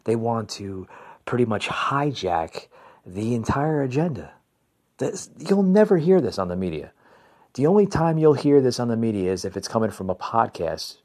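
A fairly low-voiced American man talks off the cuff as a political podcast host. He is opinionated and repeats himself, often halting and restarting as he addresses his listeners directly. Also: darkish tone. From New Jersey, United States. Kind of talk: unscripted political commentary.

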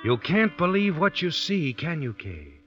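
Suspense radio narrator: male, insinuating, dramatic, lively intonation, faint music, muffled period sound. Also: a little high, a little slow. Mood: suspenseful, insinuating. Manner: insinuating, dramatic, second-person address. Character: ominous, knowing male storyteller needling the heroine. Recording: dull vintage radio recording with music.

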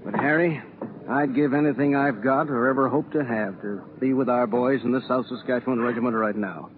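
A man's voice: medium pitch, fairly even intonation, brisk, background noise, natural voice. A man playing a proud, patriotic middle-aged postmaster and war veteran in a 1940s radio play, plain-spoken and folksy. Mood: wistful, earnest. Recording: phone-like 1940s radio, crowd behind.

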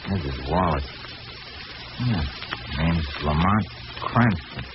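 Muffled, noisy vintage radio sound surrounds a gangster's sidekick. He is deep-voiced, terse and businesslike, a tough guy from a 1940s crime serial. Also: lively intonation, slow. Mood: matter-of-fact, threatening. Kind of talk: 1940s radio drama acting.

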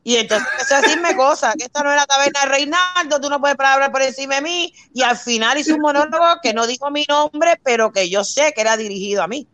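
A Cuban woman with a Cuban accent chatting on a live show, telling an argument in animated detail and quoting herself and others. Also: high voice, fast, few pauses. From Cuba. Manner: animated storytelling, quoting her own arguments. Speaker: guest on a live talk show. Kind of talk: casual heated chat.

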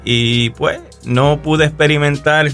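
A man with a Puerto Rican accent gives his testimony on a radio show, speaking in an easy, halting way full of fillers and restarts. Faint music plays softly beneath him. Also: on the high side. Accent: Puerto Rican Spanish. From Puerto Rico. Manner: relaxed, hesitant, many fillers and restarts. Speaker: guest musician.

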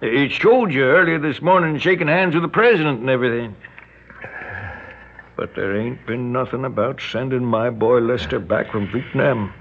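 A man's voice: mid-range voice, moderate pace, close to an everyday voice. An actor playing a menacing, folksy rural American father turned kidnapper in a radio drama, drawling with mock friendliness. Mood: taunting. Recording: dull lo-fi radio recording.

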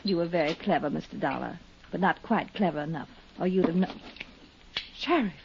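A woman's voice: lowish voice, fairly quick, creaky. An actress in a 1950s radio drama playing a scheming, scornful young woman with a poised, cutting way of talking. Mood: coldly scornful. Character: cool, scornful, scheming young murderess, a victim's daughter. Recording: dull, hissy 1950s radio recording.

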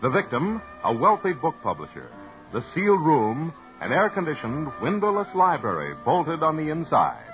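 A man's voice: fairly high pitch, wildly swooping pitch, moderate pace. A man reading closing credits and a promo as a formal radio announcer. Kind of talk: scripted announcement. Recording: dull vintage radio broadcast with music.

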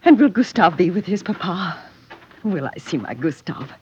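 A woman's voice: on the low side, very animated, moderate pace, mellow. A woman playing an anxious, trusting wife and tender mother in an old-time radio drama. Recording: muffled, noisy vintage radio recording.

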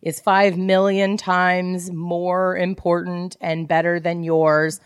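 Woman putting on a gloomy, slow-droning depressed robot. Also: lowish voice, level tone, clear, resonant tone, no room sound, clean studio sound. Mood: sulky, superior. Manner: slow, weary, droning complaint. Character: gloomy, depressed, self-important male robot.

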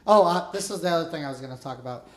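A man with a loud, carrying voice, hosting a casual podcast and telling military stories in blunt, profane slang. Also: fairly high pitch, racing, reverberant. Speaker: podcast host interviewing a fellow veteran.

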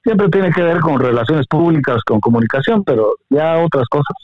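A middle-aged Guatemalan man, a veteran broadcaster, reminiscing in an interview in a measured, courteous way. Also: a little high, lively intonation, no echo. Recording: dry, narrow phone-like line.

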